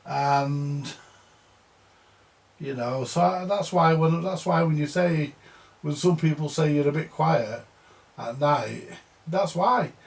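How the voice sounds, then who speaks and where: An older man with a rough, gravelly voice sitting a touch high for his age. He rambles through a casual monologue, grumbling, circling back and repeating himself, with the odd swear word thrown in. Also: takes it slowly, long pauses. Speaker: vintage audio repairer.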